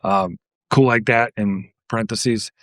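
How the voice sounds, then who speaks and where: A man's voice: fairly low pitch, steady tone, a little slow, fairly bright tone, no room sound, studio quality. A man co-hosting a podcast, reminiscing casually about music. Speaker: podcast co-host.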